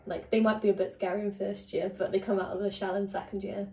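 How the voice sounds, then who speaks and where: A young woman chats candidly as a student co-host. Her voice is soft and mellow and sits mid-range. The sound is roomy and echoey. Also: mid-range voice, flat delivery, fast talker. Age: young adult. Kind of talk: casual chat. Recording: echoey room.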